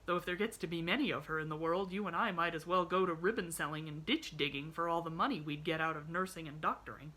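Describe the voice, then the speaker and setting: Woman reading as a wry storybook doctor guy, musing and whimsical, bright clear edge to it. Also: fairly low pitch, fast, few pauses, low-quality recording. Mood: wry, amused. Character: wry, whimsical, bemused male country doctor.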